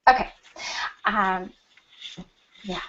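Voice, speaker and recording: A woman hosting a panel on a video call, excitable and laughing, talking in quick bursts. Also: medium pitch. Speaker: panel host. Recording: dull lo-fi video call audio.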